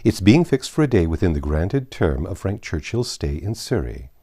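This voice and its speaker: A man reading a classic novel aloud as narrator, in a measured, formal storytelling manner. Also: low voice, sing-song, mellow. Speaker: audiobook narrator. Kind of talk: expressive literary reading aloud.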